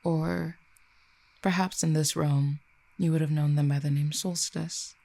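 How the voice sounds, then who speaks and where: Casting: composed, dangerous exiled cupid for audio roleplay performed by an actor, eloquent and formal, dark creaky voice of medium pitch. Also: steady tone, dry recording. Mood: polite, curious. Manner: measured, formal, eloquent phrasing. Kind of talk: audio roleplay acting, addressed to listener.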